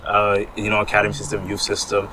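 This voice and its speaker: A man's voice: on the low side, monotone, fast, over a noisy crowd. A man hosting a sports show, chatting casually as he interviews. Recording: clearly noisy background ambience.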